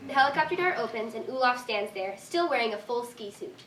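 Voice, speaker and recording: A woman's voice: fairly high pitch, expressive, brisk, a bit bright. A woman reading stage directions as scripted narration at a live staged reading. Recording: echoey room, distant mic, faint noise.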